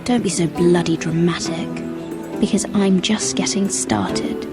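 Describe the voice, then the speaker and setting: A woman's voice: fairly low pitch, fairly even intonation, unhurried, over loud music, lightly acted. An actress playing a defiant young noblewoman in a video game, cutting in manner. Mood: defiant, accusing. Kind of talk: video game dramatic acting.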